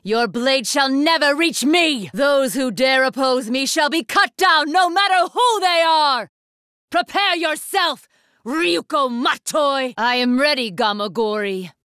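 Imperious female anime game warrior, bright sharp tone, dry studio sound, ruthless, formal, declamatory. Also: high voice, expressive, unhurried, no room sound. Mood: furious, defiant. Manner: formal, haughty, declamatory delivery. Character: imperious, ruthless young woman warrior, commanding and disdainful. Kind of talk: anime fighting game voice lines.

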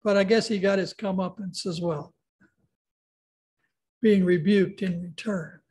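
A man's voice: sits high, steady tone, a little slow, a little mellow. A man in his sixties speaking as a pastor leading worship and scripture in a church sanctuary.

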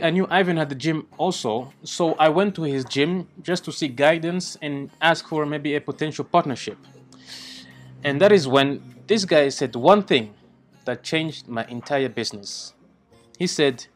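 Young male host, raised abroad, earnest and laid-back, lightly raised pitch. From Rwanda, raised in the Netherlands. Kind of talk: scripted intro, then casual interview. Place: indoor room by glass doors for the intro, rooftop terrace for the chat.

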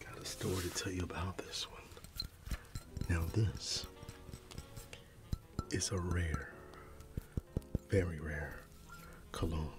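A middle-aged man doing an ASMR roleplay, whispering and soft-spoken, reminiscing unhurriedly with quiet chuckles. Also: sits low, fairly even intonation, slow, pauses often. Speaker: ASMR creator. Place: dim indoor room. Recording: soft music in the background. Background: music.

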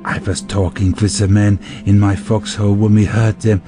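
A man playing a weary soldier confessing to God in despair, a solemn, measured monologue for an audio drama. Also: fairly low pitch, level tone, warm tone, close to an everyday voice. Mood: haunted, despairing. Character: weary, haunted male soldier praying in despair. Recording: music behind the monologue.

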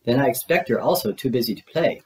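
A man voicing a gentle, simple little bear with a mild, earnest, childlike way of musing. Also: mid-range voice, lively intonation, fast talker, natural voice. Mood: wistful.

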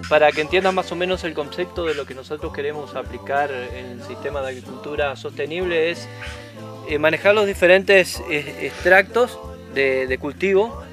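A man's voice: a little high, moderate pace. A man in his forties with an Argentine accent, a nature guide explaining plants patiently with frequent hesitations, recorded outdoors on a forest trail with a lapel mic. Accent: Argentine Spanish. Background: music.

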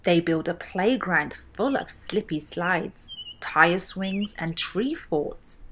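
A young woman reading a children's storybook aloud in an expressive style, with deliberate, emphatic phrasing. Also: fairly low pitch, a little hiss or hum. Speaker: children's book reader.

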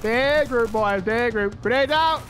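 A man's voice: extremely high pitch, a little slow, background noise. A man chatting casually with friends as he plays, exclaiming and complaining. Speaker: gamer playing co-op zombie game. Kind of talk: casual gameplay banter with friends. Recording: game music clearly behind voice. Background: game music.

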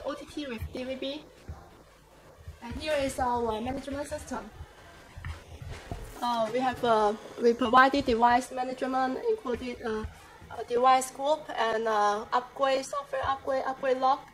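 A young woman guiding visitors through an office and factory, speaking haltingly with many fillers. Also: high-pitched, fairly even intonation. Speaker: company guide. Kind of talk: factory tour walkthrough. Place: office and factory floor, indoors. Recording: noisy factory and office background.

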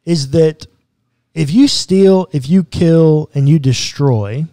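A man in his thirties, a pastor, earnestly explaining a Bible passage step by step in a podcast conversation, close to a boom mic in a small studio. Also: takes it slowly, mellow, dry recording. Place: small studio room with bookshelves.

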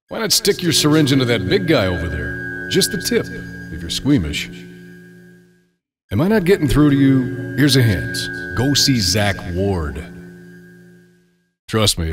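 A sardonic, psychotic alter ego from a video game, acted out by a man. The voice sits fairly low, and the delivery is theatrical, smug and taunting. Music plays clearly behind the lines. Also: natural voice. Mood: taunting, impatient.

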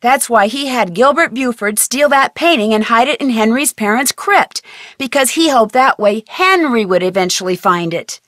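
A woman's voice: fairly high pitch, lively intonation, fairly bright tone, no echo. A plucky girl detective. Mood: confronting. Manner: brisk, self-talking, wry. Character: young woman detective, curious and plucky. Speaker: game protagonist. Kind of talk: video game voice acting. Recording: dry studio voice-over.

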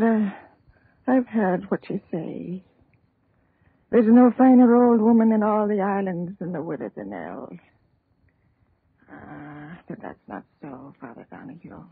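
An actress playing a dying, guilt-ridden old widow with an Irish accent, halting and mournful, in an old radio drama. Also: female voice, fairly low pitch, husky, full character voice. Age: older. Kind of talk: 1930s radio drama acting. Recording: muffled, narrow old radio recording.

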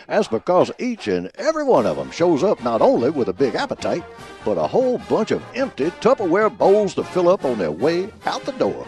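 A man performing as a folksy, pompous old football professor, giving grandiloquent scripted comic radio commentary in a husky voice. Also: fairly high pitch, wildly swooping pitch, normal speed, darkish tone, soft background music. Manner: folksy, grandiloquent alliterative delivery. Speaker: host of a college football prediction show.

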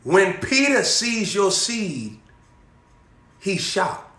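A young man preaching an energetic sermon, repeating phrases and throwing questions to his listeners. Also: high voice, very slow. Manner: animated, repetitive preaching with call-and-response questions, moving around. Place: indoor room in front of a banner backdrop. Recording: echoey room.

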